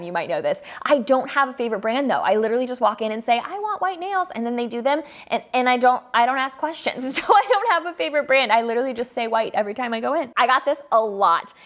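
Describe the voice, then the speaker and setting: A woman in her mid-twenties answering viewer questions in a casual vlog, upbeat and chatty, laughing often between her answers. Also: mid-range voice. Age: 20s. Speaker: photographer and vlogger. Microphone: camera mic, filmed by a friend.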